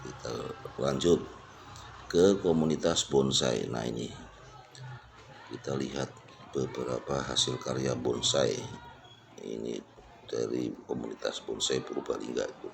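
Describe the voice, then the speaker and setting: A man's voice: rumbling low, steady tone, a little slow, creaky, long pauses, a little hiss or hum. A man giving a casual, unscripted walk-through commentary, pointing things out and repeating himself as he goes. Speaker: vlogger giving an exhibition tour. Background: crowd at an exhibition.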